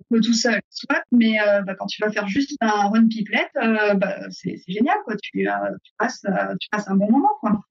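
A woman's voice: medium pitch, expressive, moderate pace, few pauses, husky, lo-fi sound. A woman from France, a podcast guest, talking casually with many hesitations. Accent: Parisian French. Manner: hesitant, many fillers, laughs easily. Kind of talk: casual conversation.

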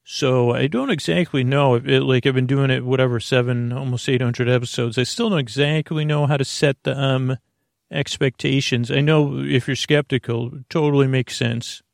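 A man hosting a bedtime podcast in a slow, creaky voice, wandering through gentle tangents and restarting his sentences. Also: medium pitch, steady tone, dry recording, studio quality. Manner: slow, meandering, self-interrupting, gently joking. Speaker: sleep podcast host. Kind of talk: rambling bedtime monologue.

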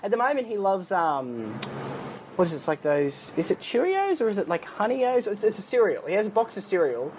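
Young man, high youthful voice, self-deprecating, rambling casual chat, faint background noise. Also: sing-song, fairly quick, darkish tone. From Australia. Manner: chatty, self-deprecating, many restarts. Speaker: late night radio host. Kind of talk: casual podcast conversation.

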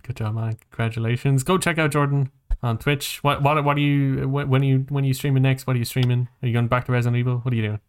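A man's voice: medium pitch, steady tone, quick pace, few pauses, smooth. Male podcast co-host, mid-range voice, loose conversational delivery that circles and rephrases as it goes. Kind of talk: casual podcast chat.

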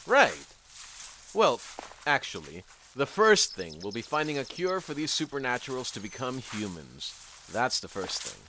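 A man's voice: medium pitch, wildly swooping pitch, measured pace, bright, forceful tone, a little hiss or hum, heavily acted. A man playing a pompous, self-righteous, scheming villain in a comic audio drama, declaiming bossily. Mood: pompous decreeing.

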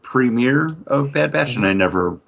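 A man co-hosting a casual geek-culture chat, talking in a halting, conversational way full of filler words. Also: medium pitch, lively intonation, vocal fry, husky, highs cut off. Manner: halting, conversational, filler-heavy with frequent 'like'. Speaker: podcast co-host.

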